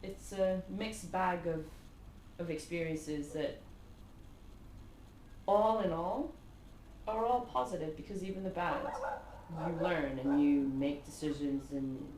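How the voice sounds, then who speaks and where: A woman in her thirties from Kuwait, a native Arabic speaker, talks as a podcast guest in a lowish voice. She tells stories animatedly, with filler words and frequent laughter. The sound is roomy and echoey, with a faint hiss behind. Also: slow, pauses often. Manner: animated storyteller, laughs often, many fillers. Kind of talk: casual conversation. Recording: echoey room with faint noise.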